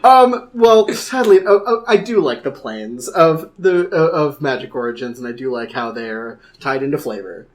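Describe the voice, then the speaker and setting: A man's voice: medium pitch, expressive, normal speed, hardly any pauses. A man co-hosting a podcast in casual group chat, with a wry, sarcastic sense of humor. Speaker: podcast co-host.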